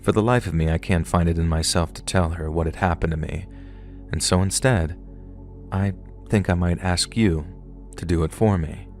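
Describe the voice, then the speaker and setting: A man narrating true horror stories submitted by viewers, in a measured, unhurried way. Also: deep voice, a little mellow, soft background music. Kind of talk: scripted horror story narration.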